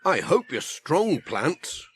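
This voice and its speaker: A man plays a cheerful British village postman from a children's storybook. He is chatty and good-humoured, with an animated, unhurried delivery. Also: fairly high pitch, a little hiss or hum, heavily acted. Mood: amused, kindly.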